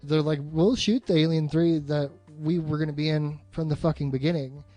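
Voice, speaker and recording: Male podcast co-host, slightly high voice, dry close sound, sweary and opinionated film chat, faint music behind. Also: fairly even intonation, normal speed, mellow, dry recording. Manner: profane, emphatic, opinionated. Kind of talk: casual film discussion.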